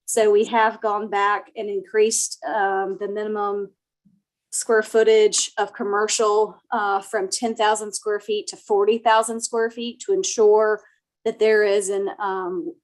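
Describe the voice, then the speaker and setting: Woman, soft voice, flat and even delivery, a bit under the weather, computer mic. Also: mid-range voice, measured pace. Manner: soft-spoken, many fillers. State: slightly sick. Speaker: project planner presenting to a town board. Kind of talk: formal presentation at a remote meeting. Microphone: computer mic on a video call.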